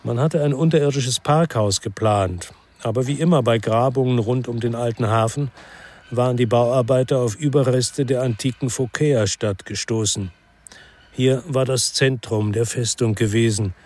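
Actor as brooding, measured ex-cop with mid-pitched voice. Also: male voice, a little mellow, natural voice. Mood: reflective, brooding. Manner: measured, reflective, restrained. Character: brooding, world-weary male ex-policeman from Marseille, first-person narrator. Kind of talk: literary crime drama narration and dialogue.

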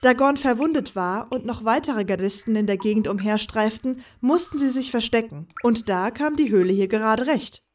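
A woman's voice: a little high, normal speed, hardly any pauses, darkish tone, far from the mic, close to an everyday voice. A woman playing a teasing, confident, carefree young woman who sneaks about, in a fan audio drama. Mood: matter-of-fact. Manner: breezy, teasing.

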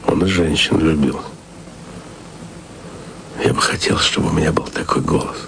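A gravelly whispering lover. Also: male voice, extremely low pitch, fairly even intonation, fairly bright tone, slight background noise, full character voice, poor audio. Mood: tender. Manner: intimate, slow, half-whispered. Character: lovesick man whispering to a distant woman over radio. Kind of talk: radio drama acting.

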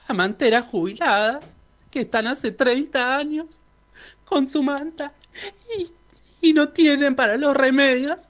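A man's voice: sits high, a little hiss or hum. Man, Argentine Rioplatense accent, vendors' spokesperson, earnest, formally worded public statement.

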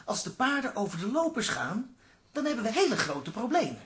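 Lively, hesitant worried official. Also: male voice, extremely high pitch, fairly quick, full character voice. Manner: hesitant, questioning. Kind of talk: radio drama acting.

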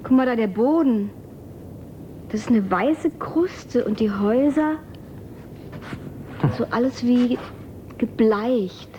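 An actress playing a practical, unfazed, curious young woman of the future in a German radio drama, brisk and colloquial. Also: fairly high pitch, expressive, dark tone, dull audio, a little hiss or hum. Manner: brisk, colloquial, matter-of-fact.

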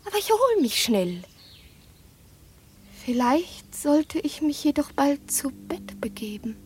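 A woman's voice: sits high, very animated, a little slow, a little mellow, slight background noise. An actress playing a frail, secretive young woman in a radio drama, languid and passionate, moving between whispers and intense outbursts. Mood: frail, reassuring. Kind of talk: German radio drama acting.

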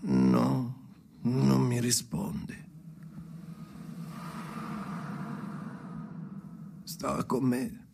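Gravelly, haunted, restless soul. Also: male voice, medium pitch, completely flat delivery, noisy background, full character voice. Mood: haunted, brooding. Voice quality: gravelly, creaky. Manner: theatrical, halting, obsessive, swinging from murmurs to shouts. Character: Henry, haunted, restless man talking to his dead father. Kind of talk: avant-garde radio drama monologue.

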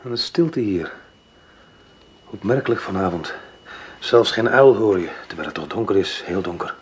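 A quiet, suspicious convalescent. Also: male voice, sits low, expressive, breathy edge. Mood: quiet, wary. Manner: quiet, measured, pointedly dry. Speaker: character in a radio play. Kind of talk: radio play acting.

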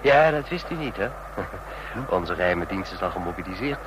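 A smug, animated know-all train passenger. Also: male voice, moderate pace. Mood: smugly chatty. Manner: talkative, knowing, needling with rhetorical questions. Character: chatty, smug male train passenger obsessed with spy stories. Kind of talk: Dutch radio drama acting. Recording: noisy radio play with vehicle rumble.

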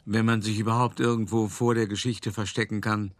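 An actor playing a self-important older art dealer who tells of his past in an expressive, articulate, wry monologue for a radio play. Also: on the low side, a little fast, no echo, natural voice. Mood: wry narration. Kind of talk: theatrical first-person monologue, storytelling.

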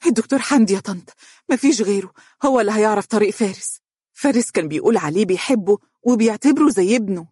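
A woman's voice: medium pitch, animated, quick pace, dark tone, slightly rough, dry recording, studio quality, voice pushed up. A woman voicing a worried mother with an Egyptian accent, anxious and entreating. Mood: hopeful urgency. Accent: Egyptian Arabic. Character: worried, pleading mother of a missing son.

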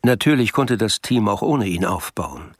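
A man's voice: lowish voice, lively intonation, moderate pace, slightly rough, no room sound, clean studio sound. A man reading scripted literary narration for a radio play.

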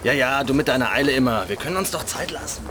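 Crook's sidekick, radio play, male actor: medium-pitched, naive, chatty, easygoing, traffic noise around him. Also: expressive, fast talker, natural voice. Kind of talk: children's radio drama acting. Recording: noisy street with traffic behind.

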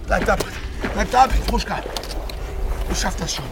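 Frantic man shouting in high pitch. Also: a little slow, gravelly, noisy background. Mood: frantic, desperate. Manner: urgent, strained shouting. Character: frantic man shouting after someone on thin ice. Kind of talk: radio drama acting.

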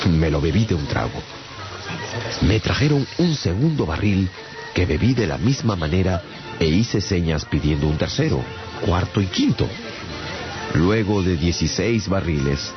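Gulliver, deep-voiced wry traveller. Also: male voice. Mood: wry, recounting. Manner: theatrical, wry storytelling. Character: Gulliver, bemused shipwrecked traveller telling his adventures. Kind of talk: dramatized first-person radio narration. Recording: dull lo-fi sound with music behind. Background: music.

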